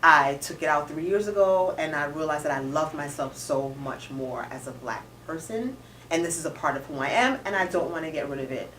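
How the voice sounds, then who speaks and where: Lower-voiced woman, roomy distant sound. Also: a little fast. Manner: lively, argumentative, corrects her co-host. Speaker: co-host. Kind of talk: casual chat recapping a story. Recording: echoey room, distant mic, faint noise.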